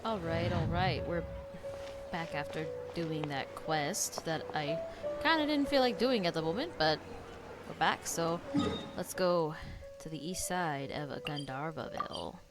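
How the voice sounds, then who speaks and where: A woman's voice: low voice, unhurried. A woman giving casual, giggly commentary while she plays a game. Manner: giggly, informal, reads some lines aloud. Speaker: streamer reacting to a game. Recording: loud game music behind. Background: video game music.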